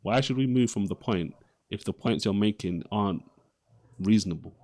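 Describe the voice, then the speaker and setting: A man's voice: on the low side, normal speed, darkish tone. A man arguing in an unscripted live debate as its host, insistently repeating and restarting his phrases.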